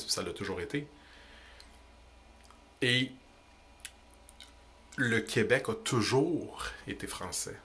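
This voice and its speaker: A young man with a Québécois French accent, ranting indignantly into the camera about politics in quick, emphatic bursts. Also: medium pitch, a bit bright. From Quebec. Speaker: vlogger. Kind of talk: heated political rant.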